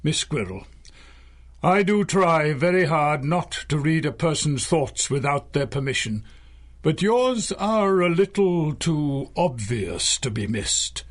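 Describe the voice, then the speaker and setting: Slow, husky, enigmatic mystic hero. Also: male voice, on the high side, expressive. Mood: knowing. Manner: measured, formal, mysterious. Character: solemn, enigmatic male mind-reading mystic hero. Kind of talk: 1930s pulp radio drama acting.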